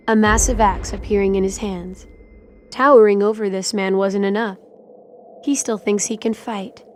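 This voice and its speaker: A woman's voice: medium pitch, slight background noise. A woman reading scripted dramatic narration as the narrator of an audio drama.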